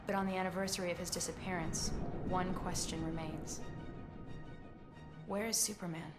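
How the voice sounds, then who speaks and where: A woman playing a grave, reflective narrator in a video game, delivering scripted lines in a measured, somber way. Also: lowish voice, steady tone, music in the background, close to an everyday voice. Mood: solemn.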